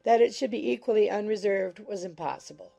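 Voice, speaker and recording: Lady narrator, mellow n relaxed w lots of vocal fry, formal measured audiobook read. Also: medium pitch.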